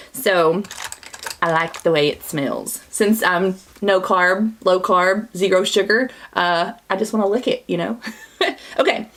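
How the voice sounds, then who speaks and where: A woman, chatting bubbly through a makeup tutorial while her voice is scratchy from a cold. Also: fairly high pitch. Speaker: beauty vlogger. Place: small home studio, indoors.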